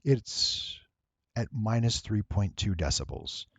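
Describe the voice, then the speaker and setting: A man narrating a tutorial, explaining things step by step in a deliberate, emphatic way. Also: fairly low pitch, lively intonation, no echo. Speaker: voice actor giving an audio editing tutorial. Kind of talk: explanatory screen-recording narration.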